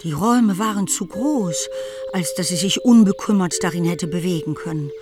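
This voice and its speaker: A woman's voice: on the low side, normal speed, over music, natural voice. A woman narrating a period novel for a radio play in a scripted, literary storytelling style.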